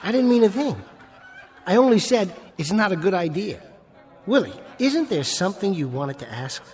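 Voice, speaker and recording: A man's voice: high voice, very animated, moderate pace, darkish tone. A man playing a mild, placating nightclub ventriloquist in a radio play, politely nervous and pleading. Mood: sheepish.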